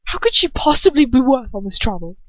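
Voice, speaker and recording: A woman's voice: sits high, big pitch swings, brisk, dry recording, dull audio, pitched above the performer's own voice. A woman voicing a defiant, sneering young wizard son who mocks his father in an audiobook-style story reading, with emphatic, incredulous delivery. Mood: scornful.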